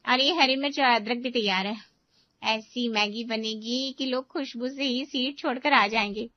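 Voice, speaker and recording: A chatty, eager young daughter-in-law, as a woman voices her, pitched fairly high. She talks people round with lively persuasion. The recording is dry and a little dull. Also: normal speed, no echo, lightly acted.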